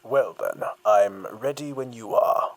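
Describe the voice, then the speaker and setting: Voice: medium pitch, slow pace, no echo. An actor playing a cool, sceptical interrogator who probes a witness sardonically in an audio drama. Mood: cool, sardonic. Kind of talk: dramatic audio fiction acting.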